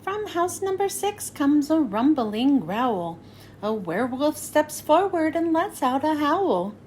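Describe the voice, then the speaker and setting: A high-pitched woman narrates a children's picture book. Her delivery is slow and sing-song, swinging widely up and down as she follows the rhymes. There is some noise behind her. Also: big pitch swings, darkish tone, rough recording. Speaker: children's read-aloud host. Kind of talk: scripted storybook narration for children.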